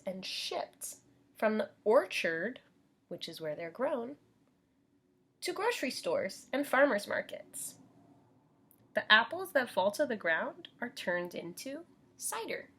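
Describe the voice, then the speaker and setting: An American woman reads a children's book in the manner of a preschool teacher. Her voice is a little husky and moves expressively up and down in pitch. She takes her time, explaining things simply and asking questions of young listeners. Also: sing-song, takes it slowly, pauses often, sharp tone. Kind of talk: children's read-aloud with teaching asides.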